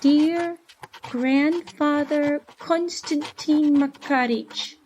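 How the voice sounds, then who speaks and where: A woman's voice: high voice, fairly even intonation, very slow, darkish tone, a little hiss or hum, voice pushed up. A woman voicing a frightened, mistreated young apprentice boy in a storybook reading, earnest and plaintive. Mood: earnest, lonely.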